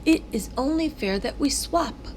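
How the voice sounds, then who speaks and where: A woman voicing a kindly, expressive flower seller in a children's storybook. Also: sits high, measured pace, slight background noise, voice pushed up. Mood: warm.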